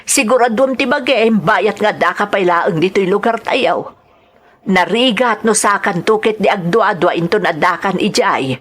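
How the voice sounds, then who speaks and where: A woman's voice: mid-range voice, measured pace, fairly bright tone. A woman with an Ilocano accent playing a caring, worried mother of a grown son in a radio drama.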